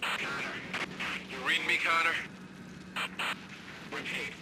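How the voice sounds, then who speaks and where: Anxious stranded soldier. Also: male voice, fairly high pitch, steady tone, drawn-out words, heavy background noise. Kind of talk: video game voice acting.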